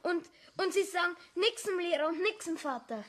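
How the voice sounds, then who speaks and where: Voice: very high-pitched, lively intonation, moderate pace, a bit bright. A performer playing a guilty, earnest young schoolboy with a Bavarian accent in a children's audio play. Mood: eager. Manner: pleading, earnest. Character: young schoolboy, pleading, guilty, eager to please.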